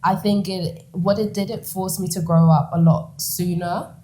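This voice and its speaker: Young woman from the Netherlands, mellow low voice, animated storyteller, laughs easily; interview guest. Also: moderate pace. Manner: animated, laughs often, acts out quoted lines.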